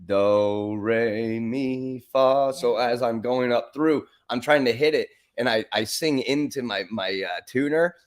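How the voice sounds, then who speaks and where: A man's voice: medium pitch, unhurried, few pauses. A man with a strong, powerful voice sitting in on a casual chat. He rambles eagerly through his stories, restarting his sentences as he goes. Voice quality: powerful singing voice. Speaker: guest singer.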